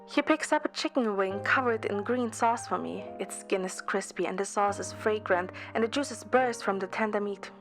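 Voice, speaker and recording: Female amateur narrator, mid-pitched, scripted first-person storytelling with music underneath. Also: moderate pace, hardly any pauses. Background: background music.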